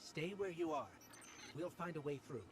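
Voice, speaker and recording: A man voicing a small floating robot companion in a video game, an earnest, loyal guide with a measured, thoughtful way of talking. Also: a little high, fairly even intonation, over music, close to an everyday voice.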